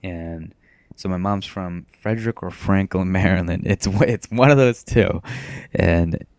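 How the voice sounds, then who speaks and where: Low monotone teenage boy, smooth voice. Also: a little slow, no room sound, studio quality. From Maine, USA. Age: teens. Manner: self-described monotone, rambling with restarts. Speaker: gaming commentator. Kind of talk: casual gameplay commentary.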